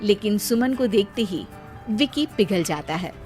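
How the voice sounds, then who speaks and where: A woman narrating a scripted comic story for an animated video. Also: medium pitch, measured pace, soft background music.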